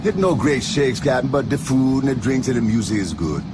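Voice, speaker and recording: A man plays a loyal, easygoing island fisherman and sidekick in a radio drama. He has a Caribbean accent and a folksy, deferential way of talking, with very animated pitch and music playing behind him. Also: fairly quick, close to an everyday voice. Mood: helpful.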